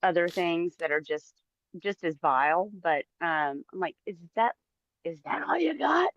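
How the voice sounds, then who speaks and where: A woman's voice: on the low side, measured pace, no echo. Joking woman on slightly muffled, dry audio. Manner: mocking, animated joking. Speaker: livestream co-host. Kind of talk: casual banter.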